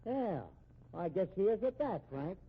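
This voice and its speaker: An actor playing a bitter fugitive killer in a 1950s radio drama, with slangy, sneering American speech. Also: male voice, sits high, sing-song, measured pace, slightly rough, exaggerated voice. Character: cornered fugitive killer, tough, bitter, working-class brother. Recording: muffled, tinny old radio recording.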